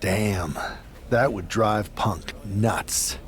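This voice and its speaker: A man's voice: lowish voice, extremely slow. A man playing a skeptical, easily amused wrestling team leader in a game cutscene, talking in a wry, conversational way. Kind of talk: scripted game cutscene acting.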